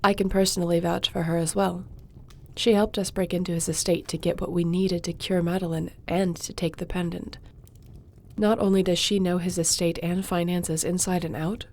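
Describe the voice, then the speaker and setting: A woman playing a mellow, calm sorceress. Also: lowish voice, creaky. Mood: gentle. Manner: measured, reassuring, reads reports aloud. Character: loyal young sorceress, calm royal advisor. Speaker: audio roleplay performer. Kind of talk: fantasy audio roleplay.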